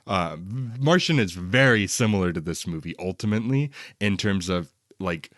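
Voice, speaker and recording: Male co-host, very deep pitch, chatty and informal movie talk, dry recording. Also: lively intonation, moderate pace, a bit bright, no echo. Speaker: podcast co-host. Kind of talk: casual movie discussion.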